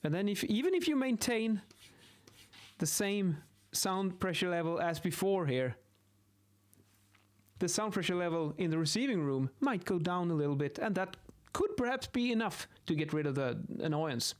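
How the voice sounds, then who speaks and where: A man in his thirties speaks English with a Swedish accent in a fairly high voice. He explains things informally and haltingly, often restarting words. He is close to a studio microphone in a home office. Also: expressive. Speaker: video host, acoustics instructor. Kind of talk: informal explainer while sketching. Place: small indoor home office. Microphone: close boom-arm studio microphone.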